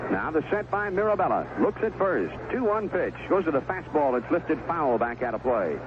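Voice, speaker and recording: Through a thin, noisy old AM broadcast comes an American man calling a baseball game. His voice sits fairly high and rises and falls with lively intonation. He delivers each pitch in short, clipped phrases, leaving easy pauses between them. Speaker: baseball play-by-play radio announcer. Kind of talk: live baseball radio commentary. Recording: tinny, noisy 1970s AM radio broadcast.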